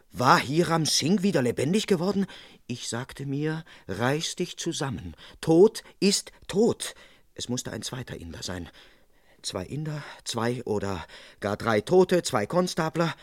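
An actor playing an indignant, self-important police constable in an old German radio crime play, earnest and dryly comic. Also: male voice, medium pitch, no echo, studio quality.

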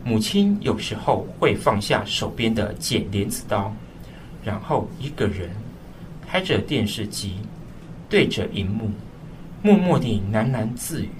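A man's voice: on the low side, very animated, far from the mic, background noise. A man playing a sorrowful eldest son, narrating a radio drama reflectively in Taiwanese-accented speech. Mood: wistful, sorrowful. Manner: reflective, melancholy, measured. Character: sorrowful eldest son recalling his broken family. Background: clear background music.

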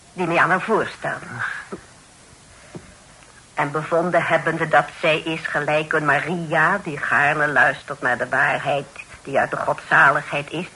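A devout, severe older aunt in a Dutch radio play, with a Netherlands accent. The voice is rough-edged and sharp. Every phrase is declaimed with biblical solemnity and reproof. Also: female voice, low-pitched, exaggerated voice, poor audio. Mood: solemn, preaching. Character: stern, pious, preachy older aunt. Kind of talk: Dutch radio drama acting.

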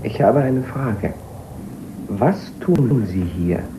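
An actor playing an awkward, shy space traveller in a radio play, speaking haltingly and dryly, often as if to himself. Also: male voice, medium pitch, animated, background noise. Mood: wary. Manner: hesitant, halting, often breaking off and restarting. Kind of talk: naturalistic German radio play acting, partly as a spoken log.

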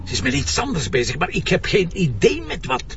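An actor playing a blunt, sardonic and stubborn police commissioner with a Flemish accent in a crime drama. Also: male voice, fairly high pitch, wildly swooping pitch, fairly quick, fairly bright tone, exaggerated voice. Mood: stubborn, exasperated. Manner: blunt, sarcastic, insistent. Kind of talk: broadcast crime drama acting. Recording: dull sound with background hum.

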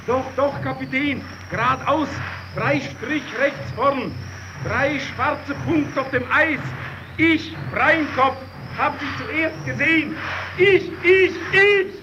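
Eager sailor, super high voice, slow insistent calls, muffled old radio drama. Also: male voice, noisy background. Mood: excited, insistent. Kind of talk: German radio play acting.

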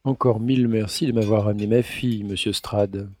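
A man's voice: on the low side, fairly even intonation, a little fast, darkish tone, natural voice. A man playing a courteous but sly finance minister in an audio drama, formal and politely insistent. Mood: grateful, formal.

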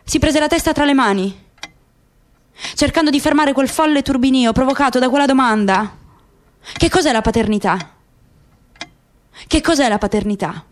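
A woman reads literary narration for a radio play. Her voice is high, and there is faint noise in the recording. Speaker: narrator, actress.

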